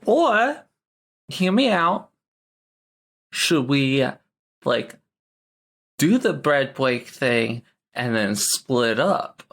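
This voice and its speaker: A player chats casually during a tabletop role-playing game. The voice is mid-pitched and slow, with a tentative manner of thinking aloud. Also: expressive, a bit bright.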